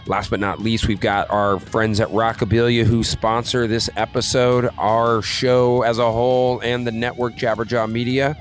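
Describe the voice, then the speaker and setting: A man co-hosting a podcast in a casual, conversational way, often dropping in "you know" as he talks. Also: lowish voice. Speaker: podcast co-host, music career coach.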